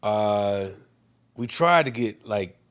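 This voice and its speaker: A man's voice: lowish voice, animated, slow pace, smooth. Laid-back man telling a story. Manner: laid-back storytelling with many fillers and restarts. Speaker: co-host. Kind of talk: casual chat on a livestream.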